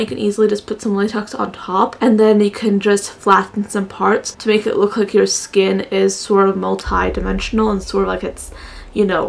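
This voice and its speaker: Young woman with a gravelly fry. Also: medium pitch. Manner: chatty and rambling, many fillers. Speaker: makeup vlogger. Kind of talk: casual makeup tutorial chat.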